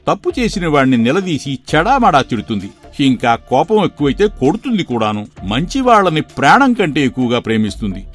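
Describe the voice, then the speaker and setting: A man voicing a kindly middle-aged father in an animated story, fond of his daughter and stern when he scolds. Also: fairly high pitch.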